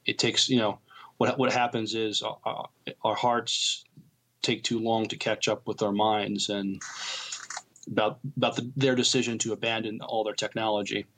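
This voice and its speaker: Halting low-voiced man on a podcast. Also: flat delivery, fairly bright tone. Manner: halting, hesitant, stumbling over words. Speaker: podcast co-host. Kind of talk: casual podcast talk and reading out news.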